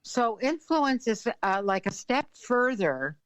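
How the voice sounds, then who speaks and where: An older woman from Canada, an author and influence consultant, speaking as an interview guest in a thoughtful, filler-laden way with frequent throat clearing. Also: on the high side, very animated, slow pace, a bit bright, no room sound.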